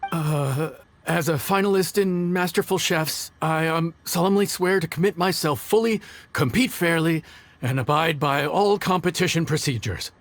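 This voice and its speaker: A man playing a timid male cook in a video game, talking in a nervous, halting stammer. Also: on the high side, natural voice.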